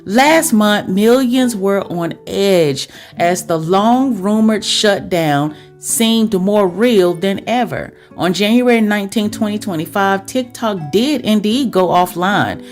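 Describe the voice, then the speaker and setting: A woman's voice: mid-range voice, expressive, takes it slowly, few pauses, a little mellow, soft background music. A woman podcast host talking directly to her listeners in a chatty, confiding girlfriend-to-girlfriend way, with rhetorical questions.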